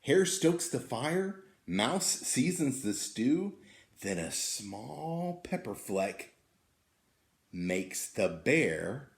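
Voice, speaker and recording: A man reading a picture book aloud to children in an expressive, drawn-out way, inviting them to join in. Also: medium pitch, vocal fry. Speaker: teacher reading a story to children. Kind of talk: animated picture-book storytelling. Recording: roomy, echoing indoor sound.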